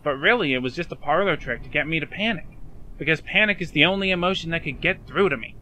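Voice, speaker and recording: A man's voice: fairly high pitch, quick pace, sharp tone, slight background noise, low-quality recording. A man playing an anxious, self-blaming young man in a fan dub drama, speaking earnestly and hesitantly. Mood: ashamed, confessing.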